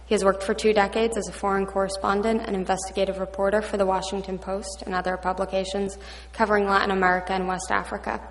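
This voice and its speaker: A woman's voice: medium pitch, level tone, fast talker. A woman moderating a conference panel, reading formal introductions of the speakers with light asides. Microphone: microphone. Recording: hall with long reverb.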